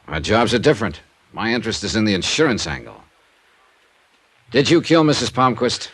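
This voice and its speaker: An old radio crime drama recording with music. a terse, world-weary American investigator. His low voice moves with lively swings in pitch as he probes, hard-nosed but fair. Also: moderate pace, husky, heavily acted. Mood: firm, pressing.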